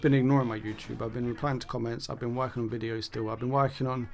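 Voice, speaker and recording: A man's voice: mid-range voice, little pitch movement, fast, vocal fry. A man chatting casually as a gaming YouTuber, rambling and self-correcting, his voice worn and fading. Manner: rambling, self-correcting, swears then laughs it off. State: losing his voice. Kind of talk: casual commentary monologue.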